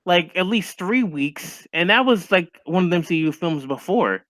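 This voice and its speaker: A man's voice: high voice, lively intonation, moderate pace, a bit bright, dry recording. A young man chatting casually and with strong opinions as a stream co-host, full of "like" fillers. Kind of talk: casual chat over a call.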